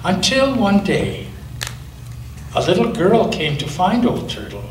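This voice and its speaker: A male pastor reading a children's story aloud in a church, with expressive storytelling and slow emphasis. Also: high-pitched, a little hiss or hum. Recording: echoey church from a distance.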